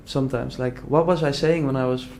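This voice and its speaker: A young man from the Netherlands talks through his story in a loose, earnest interview. His voice sits at a middling pitch and he circles back often, stacking "like" and false starts as he thinks aloud. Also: fairly even intonation, fairly quick, creaky, a crowd in the background. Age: young adult. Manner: earnest, rambling, many "like" fillers and restarts. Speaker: entrepreneur guest. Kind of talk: casual conversational interview.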